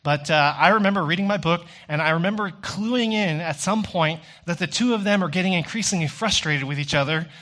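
Higher-voiced man preaching conversationally. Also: a little fast, few pauses, pushed and bright. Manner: conversational, addresses listeners directly. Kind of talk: sermon with personal storytelling.

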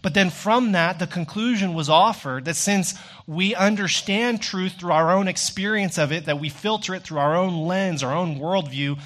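A man preaching an expository sermon in an emphatic, deliberate manner, with repeated phrases and rhetorical questions. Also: high-pitched, fairly bright tone.

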